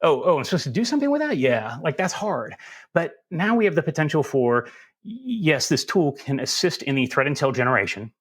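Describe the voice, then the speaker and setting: A man with a Southern US accent, answering interview questions in a relaxed, folksy and self-deprecating way. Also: a little high, brisk, slightly rough. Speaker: security instructor as guest.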